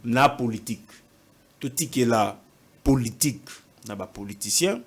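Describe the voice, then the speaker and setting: A man's voice: medium pitch, animated, moderate pace, breathy edge. A man from Congo with a Congolese French accent, an opposition party official, earnestly mixing Lingala and French in a casual interview.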